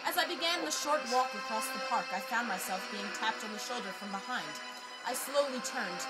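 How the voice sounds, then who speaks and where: A woman's voice: mid-range voice, poor audio. A young woman giving a dramatic, mock-grandiose reading of her humorous personal essay. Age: young adult. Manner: theatrical, mock-grandiose delivery. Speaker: essayist reading own work. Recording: loud orchestral film score behind voice. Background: dramatic orchestral music.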